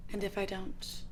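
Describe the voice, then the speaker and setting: A woman's voice: mid-range voice, bright, forceful tone, slight background noise, heavily acted. A woman playing a menacing, gloating male outlaw gang boss in a western radio play, with taunting, theatrical delivery.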